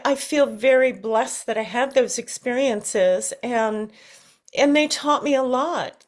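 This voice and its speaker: American woman, middle-aged, interview guest. Higher voice, unhurried delivery, thoughtful and searching. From United States. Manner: thoughtful, halting, searching for words. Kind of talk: reflective interview conversation.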